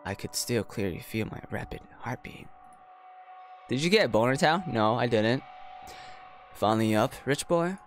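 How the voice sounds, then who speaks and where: A male game streamer with a mid-pitched voice reads story text aloud and drops in casual, slangy commentary. He jokes in asides between the lines, with music playing behind him. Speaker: streamer playing and reading a visual novel.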